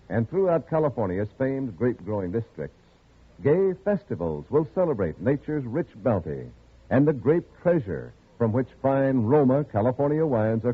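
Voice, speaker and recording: A male vintage radio announcer with a mid-pitched, highly inflected sales delivery, measured and polished. The old broadcast sound is narrow and telephone-like. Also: breathy edge. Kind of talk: 1940s radio announcing, scripted sponsor ad.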